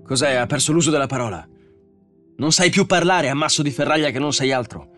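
A man's voice: mid-range voice, huge pitch swings, a little fast, breathy edge, faint music behind, pitched above the performer's own voice. A man playing an arrogant, hot-tempered mathematician who barks impatiently and aggressively in an audiobook story. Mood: furious.

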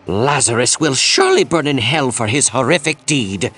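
An actor playing a grave, anguished village priest in a video game, speaking in a solemn, theatrical, old-fashioned way. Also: male voice, medium pitch, animated, moderate pace, fairly bright tone, slightly rough. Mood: horrified. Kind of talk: dramatic video game dialogue.

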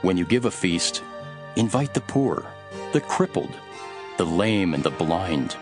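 Solemn male teacher-prophet, low voice, very varied intonation, earnest preaching, scripture drama. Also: big pitch swings. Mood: earnest teaching. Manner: measured, emphatic, preaching. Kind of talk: dramatized scripture reading over music. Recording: music behind the voice.

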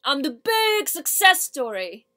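A woman performing a theatrical monologue as a hurt, defiant, foul-mouthed young working-class woman, repeating herself haltingly as she builds. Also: high-pitched, big pitch swings, bright, forceful tone, pitched above the performer's own voice, heavily acted. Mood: angry, defiant. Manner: halting and repetitive, building to outbursts, swearing.

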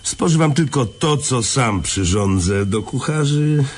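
An actor playing a cold, distrustful convicted poisoner in a radio drama, measured and formal. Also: male voice, mid-range voice, animated, breathy edge. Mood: firm refusal. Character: cold, wary male poisoner convict, former chef.

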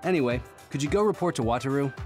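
An actor playing a composed, dutiful platoon officer in an anime game, measured and instructive. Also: male voice, mid-range voice, expressive, soft background music, natural voice. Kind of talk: anime video game dub acting.

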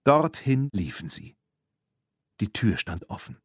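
A man narrates a children's audiobook. His voice is low and husky, recorded dry and close. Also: sing-song, slow pace, dry recording.

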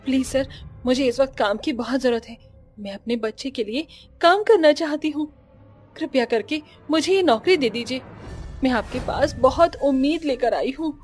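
A woman's voice: high-pitched, normal speed, darkish tone, faint music behind, natural voice. A woman playing a desperate pregnant job seeker in a melodramatic cartoon, pleading tearfully.

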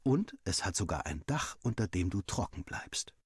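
A man reading a first-person story as a narrator, acting out the dialogue in an animated way. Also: deep voice, normal speed, husky, no echo, clean studio sound. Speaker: audiobook reader.

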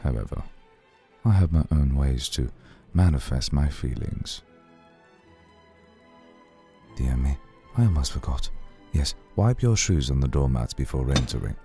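Man voicing an extremely deep, gravelly old vampire lord. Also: lively intonation, warm tone, soft background music. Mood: composed, courteous. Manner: formal, unhurried, seductive. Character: suave, centuries-old male vampire lord, courteous, cool, possessive. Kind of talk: ASMR roleplay.

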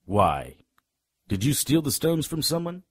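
An actor playing a world-weary, wisecracking supernatural private eye in a noir radio drama, with sardonic old-detective patter and a husky voice. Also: male voice, a little high, wildly swooping pitch, measured pace, exaggerated voice. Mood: guarded, wry.

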